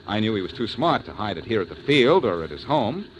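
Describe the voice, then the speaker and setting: An actor playing a confident, wisecracking detective in a 1940s radio drama. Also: male voice, fairly low pitch, sing-song, brisk. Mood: explaining. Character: wisecracking reformed-crook detective, man. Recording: old radio recording with faint hiss.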